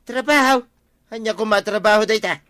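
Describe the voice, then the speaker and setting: A stingy, blustering village husband in an Ilocano radio comedy drama, with a bright, forceful, somewhat high male voice. He grumbles and exclaims in an Ilocano accent. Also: fairly quick. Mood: surprised, mocking.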